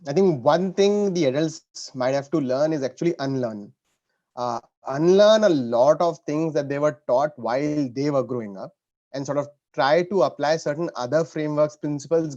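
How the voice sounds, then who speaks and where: A man answering as a panel speaker, explaining in a halting way with repeats and rephrasing, on dull call audio. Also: on the high side, darkish tone.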